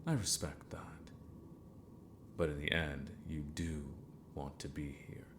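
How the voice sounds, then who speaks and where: A man playing an eccentric, possessive sorcerer mentor in a fantasy ASMR roleplay, half-whispering in a gravelly voice with formal, condescending deliberation. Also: extremely low pitch, steady tone, slow pace, noisy background. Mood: firm, reassuring.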